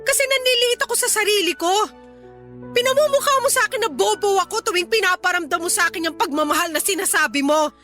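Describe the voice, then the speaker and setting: Casting: scornful, quick-tempered mother for radio drama; extremely high, piercing, bright delivery. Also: faint music behind. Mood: angry, hurt. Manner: scornful, emotional, defensive. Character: bitter, hot-tempered mother of a grown child.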